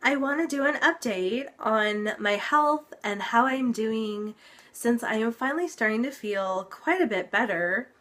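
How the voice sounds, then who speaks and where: Slow-talking congested young woman. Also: fairly high pitch, fairly bright tone. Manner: chatty, lots of ums, occasional laughs. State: congested, recovering from illness. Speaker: vlogger. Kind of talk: casual health update vlog.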